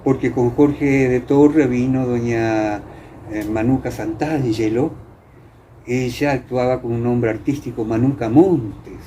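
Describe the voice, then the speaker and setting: An older man with an Argentine accent from Entre Ríos, reminiscing in a conversational interview, haltingly searching for words and repeating himself. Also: mid-range voice, steady tone, dark tone, a little hiss or hum. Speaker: interviewee, former radio theatre actor.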